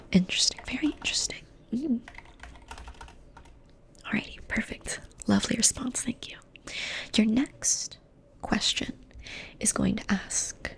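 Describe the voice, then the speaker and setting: Slow breathy whispering woman. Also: mid-range voice. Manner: soft unvoiced whisper, slow and soothing, repeats phrases softly. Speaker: ASMR host. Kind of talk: ASMR personality quiz roleplay.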